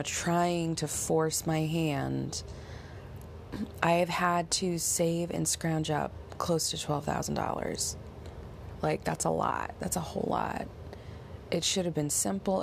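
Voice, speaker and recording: A low-voiced woman in her thirties speaks with a hoarse, heavily gravelly rasp from a bout of bronchitis. She talks in a halting, casual way, slowing down to stress her points. A faint hiss sits under her voice. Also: a little mellow. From United States. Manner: halting, frequent ums, slows to stress points. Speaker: podcast host. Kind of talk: casual personal update.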